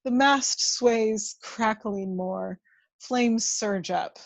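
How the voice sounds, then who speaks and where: A woman's voice: a little high, drawn-out words, gravelly, no echo. A woman reading out the action of a screenplay as a dramatic narrator. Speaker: script narrator. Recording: dull lo-fi, dry microphone.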